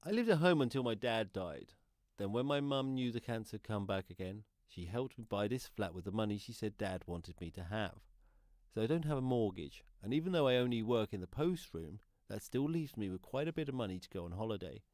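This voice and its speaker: A male actor as a shy, earnest deaf man telling his own story in a radio drama. His voice sits fairly low and sounds close and dry, with a simple, confiding manner. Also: animated, brisk, a little mellow, no room sound, close to an everyday voice. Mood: wistful, earnest. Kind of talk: radio play monologue.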